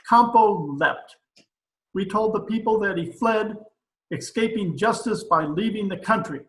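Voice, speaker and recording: A man's voice: sits high, creaky, pushed and bright, reverberant, slight background noise, exaggerated voice, low-quality recording. A man playing a pompous, blustering dictator president in an old-time radio comedy recorded over a video call. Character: pompous, excitable dictator president of a banana republic.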